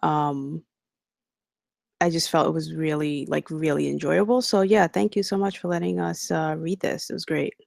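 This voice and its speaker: Woman reviewer, low and fry-heavy, hesitant casual delivery, dry sound. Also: fairly even intonation, normal speed, darkish tone, no echo. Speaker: script reader giving feedback. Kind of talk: casual feedback.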